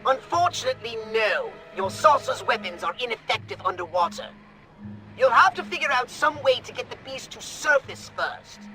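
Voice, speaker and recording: Shrill, gravelly little alien commander. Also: male voice, lively intonation, normal speed, pushed and bright, faint music behind, heavily acted, poor audio. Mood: sarcastic, exasperated. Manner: sarcastic, pompous, exasperated. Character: irascible scheming alien commander, small and sarcastic. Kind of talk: cartoonish video game acting.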